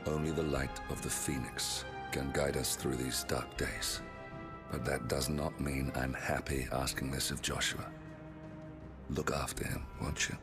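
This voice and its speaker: Dutiful middle-aged duke for fantasy game: very low voice, British, measured and wry. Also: male voice, level tone, over music. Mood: solemn, resolute. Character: weary, dutiful archduke and loving father. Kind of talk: video game cinematic acting.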